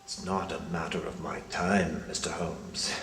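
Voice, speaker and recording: An older man playing a grave, guarded, pious cardinal in a period detective drama, speaking in a formal, measured way. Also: sits low, steady tone, fairly bright tone. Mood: guarded, solemn. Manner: formal, measured, hesitant, sanctimonious. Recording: echoey room with faint noise.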